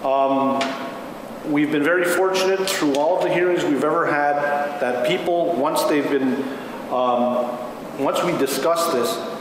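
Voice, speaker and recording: A man facilitating a public meeting, explaining things in a halting way as he addresses the room. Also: fairly high pitch. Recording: very echoey hall, clear background noise.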